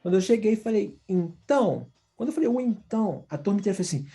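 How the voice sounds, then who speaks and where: A Brazilian man speaks in a dark, gravelly voice with a Brazilian Portuguese accent. He is a design professor telling candid, slang-filled stories in a relaxed conversation. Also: sits high, fast talker, faint music behind. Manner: animated, candid, slangy storytelling. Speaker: guest, design professor abroad. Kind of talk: casual storytelling conversation.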